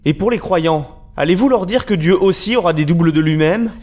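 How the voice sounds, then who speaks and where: A man's voice: high voice, a little fast, a little mellow. A man acting in an audio drama as a troubled religious man questioning a speaker at a conference. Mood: alarmed. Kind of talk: acted dialogue in audio drama.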